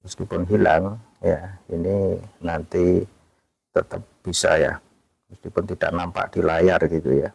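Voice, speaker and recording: Male tutorial host, low and gravelly, relaxed and chatty with lots of fillers. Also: unhurried, long pauses, dry recording, poor audio. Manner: relaxed, repeats "ya" and fillers often. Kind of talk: casual screen-recorded app tutorial.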